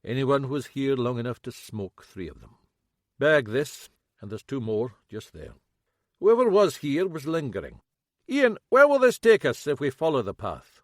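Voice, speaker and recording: Man doing a calm, dry older inspector. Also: medium pitch, normal speed, dry recording, voice pushed up, natural voice. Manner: calm, understated, dry humour. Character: older Scottish male detective inspector, calm, dry-witted.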